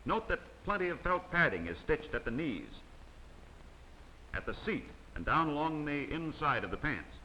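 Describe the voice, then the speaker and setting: A man narrating an old instructional film in a formal, measured way, his voice bright and lively in pitch. The soundtrack carries a faint hiss from an old film print. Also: rough recording. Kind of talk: scripted documentary narration.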